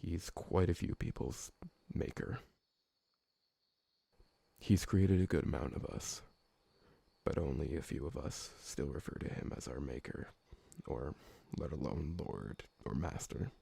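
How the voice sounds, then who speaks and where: A man playing a gentle, awkward, polite old vampire servant who talks hesitantly and deferentially in an ASMR roleplay. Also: very deep voice, measured pace, creaky, slightly rough, no room sound, heavily acted. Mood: gentle, tentative. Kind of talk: ASMR roleplay audio drama.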